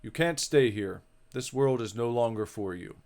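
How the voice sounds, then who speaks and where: Man as a soft, solemn heavenly being. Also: mid-range voice, normal speed, natural voice. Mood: stern. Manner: solemn, formal, soothing. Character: serene, soft-spoken heavenly being ushering the dead onward. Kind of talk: dramatic reading of a visual novel.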